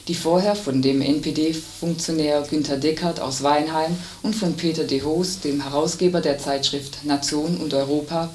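A radio news reader delivering a scripted report. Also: medium pitch. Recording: roomy, distant mic, noisy, clicks.

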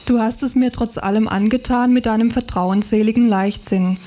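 A woman's voice: a little high, steady tone, normal speed, warm tone. A woman reading excerpts from a novel aloud in a literary style. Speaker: reader of book excerpts.

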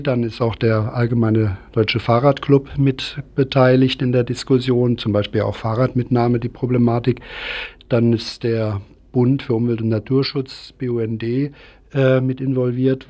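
A man giving an unscripted radio interview as a guest activist, explaining things in a halting way. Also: medium pitch, flat delivery, dark tone.

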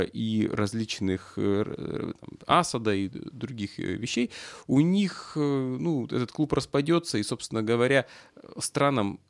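Low-pitched middle-aged man in political discussion. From Russia. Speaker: political commentator, former local political activist. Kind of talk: discussion of politics, spontaneous commentary.

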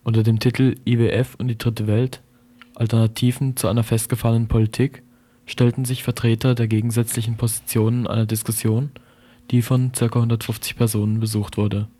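A man's voice: mid-range voice, little pitch movement, brisk, dark tone. A man reading a scripted radio news report in a sober, matter-of-fact manner.

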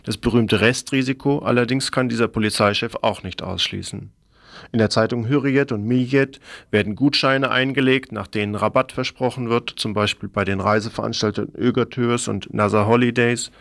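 A man's voice: medium pitch, fairly even intonation, moderate pace, vocal fry, a bit bright, no room sound, clean studio sound. A man presenting a scripted radio news report in a formal manner, now and then stumbling over the text.

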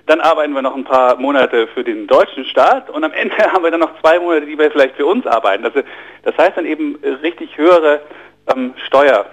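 A man's voice: fairly high pitch, fairly quick, rough recording. A man with a German accent, a financial journalist giving a radio interview, explaining economics fluently but with many fillers and repeated words. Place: radio studio.

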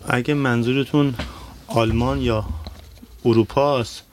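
A man's voice: medium pitch, fairly even intonation, very fast. A man from Iran answering interview questions about his own experience. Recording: faint background noise.

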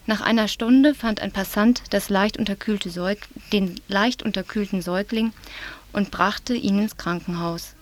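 A woman from southwest Germany presenting a local news report, reading in a measured, serious way. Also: medium pitch.

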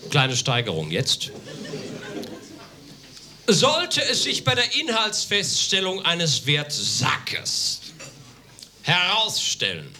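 A man from Germany doing a live comic reading on stage, deadpan and mock-formal with emphatic declamation. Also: on the high side, takes it slowly, long pauses, a crowd in the background. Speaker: comedic reader on stage. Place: live on stage in a theatre café, audience laughter behind.

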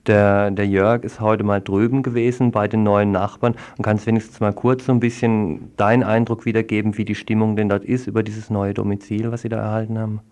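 A male radio host with a low, mellow voice and very little melody. He talks freely in a studio discussion, feeling his way through informal, hesitant phrasing. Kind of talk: unscripted radio interview and commentary.

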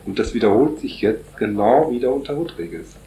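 A man answering interview questions at length, pausing often on fillers as he builds long explanatory sentences. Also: medium pitch, expressive, mellow, roomy sound, slight background noise, poor audio. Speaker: interviewee on Paraguay politics.

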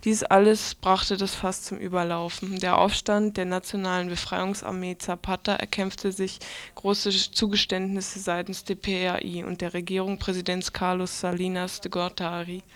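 A woman's voice: fairly low pitch, level tone, moderate pace. A woman presenting radio news, reading from a script.

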